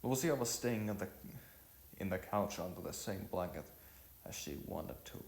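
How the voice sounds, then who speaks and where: A man reading a story aloud as an amateur narrator, haltingly, often repeating and correcting his words. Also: low voice, lively intonation, reverberant.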